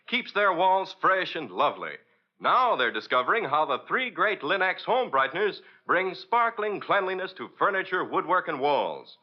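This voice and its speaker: A male announcer reading scripted introductions and sponsor copy in an emphatic, polished 1940s radio style. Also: fairly high pitch. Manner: polished, emphatic sales delivery. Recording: muffled old radio recording.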